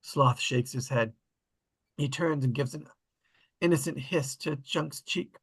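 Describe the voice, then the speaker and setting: A man's voice: mid-range voice, unhurried, breathy edge. A man reading screenplay stage directions as scripted narration at a table read.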